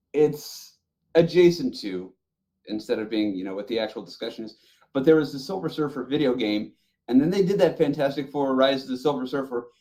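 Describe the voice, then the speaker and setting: Mid-pitched man in casual podcast chat. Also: dark tone. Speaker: podcast panelist.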